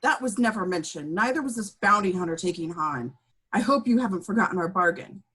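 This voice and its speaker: A woman's voice: on the low side, lively intonation, a little fast, a bit bright, a little hiss or hum. A woman playing a worried, defensive male city administrator in an amateur script read, terse and protesting. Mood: uneasy.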